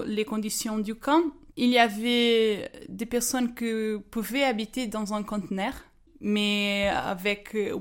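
Young Portuguese woman, hesitant. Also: medium pitch. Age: 20s. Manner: hesitant, many fillers and restarts. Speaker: guest storyteller. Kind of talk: casual personal testimony.

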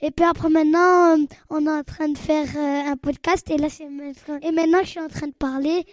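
A woman's voice: high voice, fairly even intonation, a little fast. A young girl presenting casually like a vlogger, repeating herself as she searches for words. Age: child or young teen. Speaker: youth radio presenter. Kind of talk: casual recap, vlog-like chat.

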